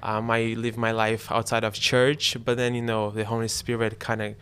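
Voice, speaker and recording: A young man from Brazil with a Brazilian Portuguese accent, a university student speaking English as a second language, telling his faith story in a relaxed, halting way. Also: lowish voice, clear, resonant tone. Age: young adult. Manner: relaxed, halting non-native phrasing. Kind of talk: casual faith testimony chat.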